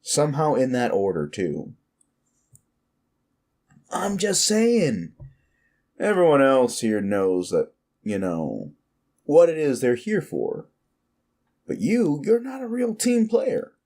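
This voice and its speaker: A man performs a smug, bullying male werewolf boss for an audio roleplay. He drawls slowly and addresses the listener directly with patronizing scorn. His mid-pitched voice swings widely as he needles and swears. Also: big pitch swings, a little mellow, full character voice. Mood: mocking, needling. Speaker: office boss.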